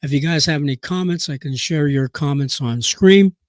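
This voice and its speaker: Hoarse man with a cold, halting. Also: fairly high pitch, no room sound, clean studio sound. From Nebraska. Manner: halting, full of "uh" fillers and restarts. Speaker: boxing news live-stream host. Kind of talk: live-stream commentary, reading aloud.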